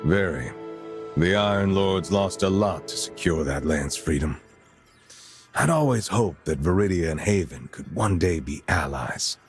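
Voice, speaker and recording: An actor playing a grave veteran lord and military commander in a video game, speaking in a formal, measured way. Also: male voice, deep voice, expressive, soft background music. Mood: solemn, earnest. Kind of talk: dramatic video game cutscene acting.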